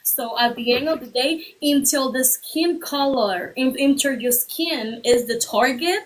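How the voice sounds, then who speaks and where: A woman joining a livestream discussion, making her case steadily and deliberately, building her points by repetition. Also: high voice, rough recording. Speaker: livestream guest. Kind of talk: earnest argument on a livestream.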